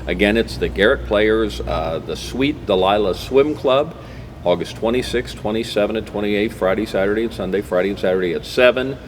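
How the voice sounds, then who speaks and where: A man's voice: fairly low pitch, a little fast. A man hosting a conversational local interview show, talking in a folksy way and recapping details as he goes. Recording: clearly noisy background ambience.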